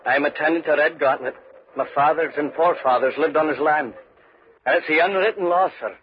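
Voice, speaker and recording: A man's voice: a little high, brisk, close to an everyday voice. An actor playing a humble, uneasy tenant farmer who tells his tale in earnest, folksy 1940s radio style. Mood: uneasy, defensive. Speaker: narrator and lead character. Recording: muffled, noisy 1940s radio recording.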